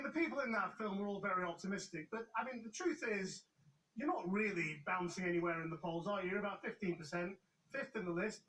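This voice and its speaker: A man presenting the news, narrating a report and pressing a guest with brisk, challenging questions. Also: high-pitched, fairly bright tone, recorded from a distance, poor audio.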